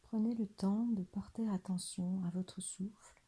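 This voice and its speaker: A woman guiding a body scan meditation in a soft, breathy, near-whispered voice, gentle and soothing, leaving long pauses. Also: mid-range voice, steady tone. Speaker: meditation guide.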